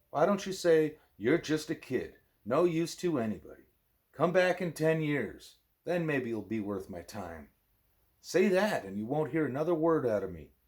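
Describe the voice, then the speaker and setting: A man's voice: fairly high pitch, darkish tone, voice pushed up. A man voicing an angry, defiant twelve-year-old girl in an audiobook, indignant and emphatic.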